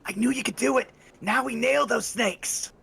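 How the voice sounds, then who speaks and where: A man voicing a furtive, eager male street contact in a video game, speaking in quick, conspiratorial bursts. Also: very high-pitched, vocal fry, a bit bright, husky, pitched above the performer's own voice, full character voice. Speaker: co-player reading character lines.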